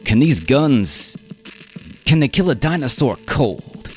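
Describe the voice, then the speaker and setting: A man's voice: medium pitch, big pitch swings, takes it slowly, soft background music, pitched above the performer's own voice. A man voicing a tense, eager big-game hunter in a radio drama. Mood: anxious eagerness. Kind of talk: radio drama character reading.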